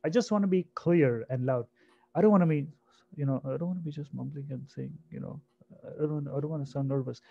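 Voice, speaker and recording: A man in his thirties from India with a naturally soft voice, presenting a career webinar in a conversational way and often checking in with "right?". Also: fairly high pitch. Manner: conversational, repeats himself, asks "right?" often. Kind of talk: online career webinar talk. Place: home room on a video call. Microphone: computer mic on a video call. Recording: dull lo-fi webinar audio.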